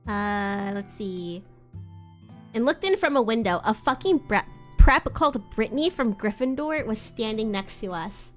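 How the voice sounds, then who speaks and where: A woman's voice: fairly high pitch, animated, unhurried, soft background music. A woman hosting a livestream dramatic reading of fan fiction, giggly and sweary, with asides. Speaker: livestream host.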